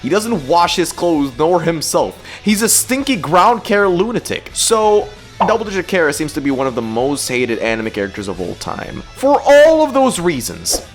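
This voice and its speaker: A YouTube host performs a comedic rant with highly animated pitch that swings up and down. The delivery is theatrical and exaggerated, stretching words and breaking into outbursts. Music plays behind the voice. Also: normal speed. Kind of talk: comedic scripted rant commentary. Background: background music.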